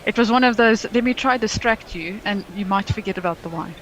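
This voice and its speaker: A woman's voice: mid-range voice, brisk, fairly bright tone, a little hiss or hum, rough recording. Chatty woman cricket co-commentator. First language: English; Afrikaans is her second language. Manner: chatty, easygoing analysis. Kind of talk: live sports commentary chat.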